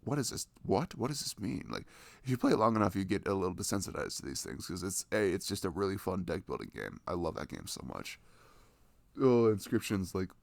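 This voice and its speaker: A man's voice: sits low, big pitch swings, a little fast, gravelly, dry recording, heavily acted. A man playing a sweet, affectionate, nerdy young boyfriend in an ASMR roleplay, chatting tenderly in a casual, rambling way. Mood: nerdy, enthusiastic. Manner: tender, rambling, giggly, gushing about games.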